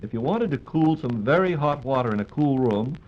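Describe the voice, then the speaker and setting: American man doing old 1940s radio host talk, formal and polite, medium pitch, muffled vintage recording. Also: expressive, a little fast. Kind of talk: scripted 1940s radio announcing.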